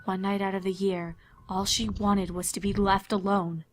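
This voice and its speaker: A woman reading scripted dramatic story narration in a measured, expressive way. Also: medium pitch, faint music behind.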